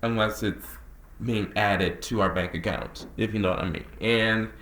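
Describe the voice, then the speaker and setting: A man's voice: fairly low pitch, fairly bright tone, slight background noise. Earnest young man vlogging, echoey room. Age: young adult. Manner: chatty and earnest, drawls some words slowly, then rushes others. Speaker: creative director and vlogger. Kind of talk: casual talking-head vlog.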